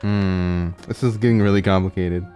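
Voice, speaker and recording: Very low-voiced woman doing gaming commentary. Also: steady tone, moderate pace, dark tone, soft background music. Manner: playful, reacting aloud. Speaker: gaming YouTuber. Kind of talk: casual playthrough commentary.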